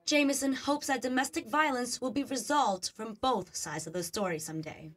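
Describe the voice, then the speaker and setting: A young female reporter reads her news piece with firm, emphatic delivery. Her voice sits a bit higher than most women's. She speaks into a handheld microphone. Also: moderate pace. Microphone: handheld mic.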